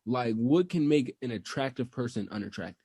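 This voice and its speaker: A man's voice: mid-range voice, animated, brisk, warm tone, slightly rough, no echo, studio quality. A young man hosting a casual podcast, asking questions and talking at length in a loose, drawling way. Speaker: podcast host.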